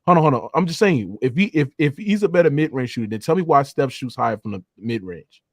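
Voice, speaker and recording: A man's voice: mid-range voice, lively intonation, quick pace, no room sound. Guy hosting a sports debate, pushy and slangy, keeps hammering the same point, very dry audio. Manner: insistent, argumentative, repeats points, swears freely. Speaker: sports debate show host. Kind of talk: animated sports debate, slangy casual talk.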